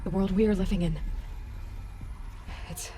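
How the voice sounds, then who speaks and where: An actress playing a determined, earnest young heroine in a video game, speaking with urgency. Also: female voice, mid-range voice, steady tone, slow, mellow, soft background music, lightly acted. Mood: solemn, hopeful. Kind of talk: dramatic video game dialogue.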